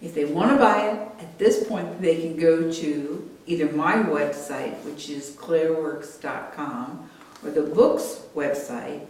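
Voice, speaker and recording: An American woman in her late sixties speaking as an author, measured, candid and thoughtful. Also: darkish tone. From United States. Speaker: author and artist being interviewed. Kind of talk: reflective interview talk and reading aloud from own book. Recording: roomy echo, distant mic, rumbly noise.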